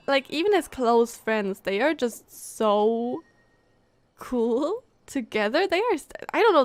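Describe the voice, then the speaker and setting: A woman's voice: on the high side, no room sound. A young woman streamer giving casual, gushing live commentary on a video game. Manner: excitable, gushing, giggly. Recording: game music behind the voice.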